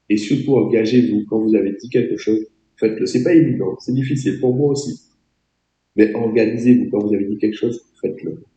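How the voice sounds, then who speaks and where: Man with a cold, French accent, very dark tone, roomy echo, emphatic trainer on a video call. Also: fairly low pitch, muffled recording. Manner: emphatic, exhorting, addresses audience directly. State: has a cold, voice a bit off. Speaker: network marketing trainer. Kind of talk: webinar training talk.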